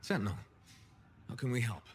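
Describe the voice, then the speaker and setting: An actor playing a wry, steady freelance pilot hero in a video game, talking in an understated, dry way. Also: male voice, mid-range voice, a little fast, a little hiss or hum, close to an everyday voice. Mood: earnest, wry. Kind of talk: conversational video game voice acting.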